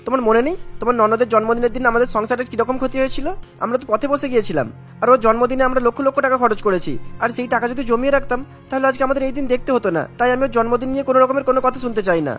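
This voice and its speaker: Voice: medium pitch, very fast, fairly bright tone, faint music behind, close to an everyday voice. A performer voicing a bitter, hot-tempered young husband in a cartoon, with resentful delivery. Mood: angry, resentful. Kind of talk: Bengali cartoon dubbing.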